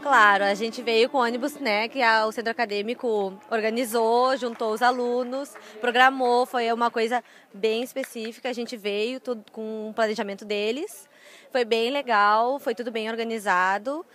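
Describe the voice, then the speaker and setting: A woman's voice: on the high side, fairly even intonation, moderate pace, fairly bright tone. A young woman, a student chatting casually in an outdoor interview. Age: young adult. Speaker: university student. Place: outdoors on campus. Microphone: handheld reporter mic.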